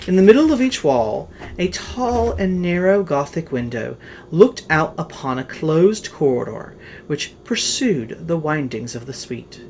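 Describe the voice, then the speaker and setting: A narrator reading a horror tale in a theatrical, dramatic way with drawn-out emphasis. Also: medium pitch, a little hiss or hum.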